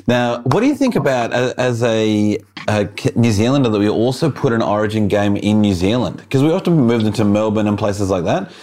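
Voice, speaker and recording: A man hosting a casual sports interview, enthusiastic and quick to laugh. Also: on the low side, animated, darkish tone. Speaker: podcast host.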